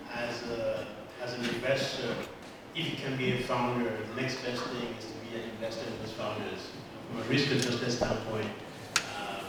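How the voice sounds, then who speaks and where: A man, a venture investor, answering on a panel in a measured way with frequent "um". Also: on the low side. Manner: measured, fillers of "um". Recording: echoey hall, distant mic, loud hum.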